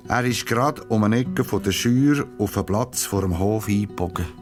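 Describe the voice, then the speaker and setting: A man with a Swiss accent narrating a scripted children's story, using dramatic pauses. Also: on the low side. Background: plucked guitar music.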